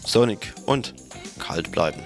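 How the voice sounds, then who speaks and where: Loud guitar music plays under a man's fairly low voice. He is a radio host making brief station announcements and linking items. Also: expressive, slow.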